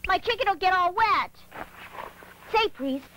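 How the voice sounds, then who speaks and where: Woman acting a very high-pitched, scrappy boy. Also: lively intonation, a little slow, fairly bright tone, a little hiss or hum, heavily acted, rough recording. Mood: defiant, casual. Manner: brash, sly, self-justifying. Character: cheeky, scrappy young street boy thief. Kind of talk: dubbed animated film acting.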